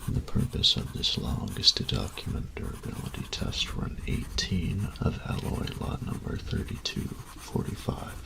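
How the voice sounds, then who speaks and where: A man playing a meticulous, reclusive artificer in an audio roleplay, dictating formally and precisely in a gravelly, breathy voice. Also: deep voice, wildly swooping pitch, measured pace, few pauses, whispered, exaggerated voice, low-quality recording. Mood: formal, focused. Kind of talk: scripted audio roleplay acting.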